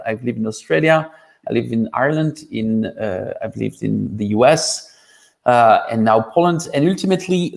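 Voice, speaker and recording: Male interview guest, 40s, French accent, mid-range voice, telling personal anecdotes in an expressive, conversational way. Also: mid-range voice, vocal fry. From France. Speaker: interview guest, boardgame publisher. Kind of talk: casual chat, personal anecdotes.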